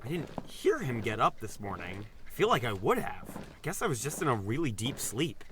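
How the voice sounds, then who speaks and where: A man's voice: mid-range voice, big pitch swings, fairly quick, a bit bright, a little hiss or hum. An actor playing an earnest, anxious, naive man among prisoners in an audio drama, hesitant and reactive. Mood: confused, anxious.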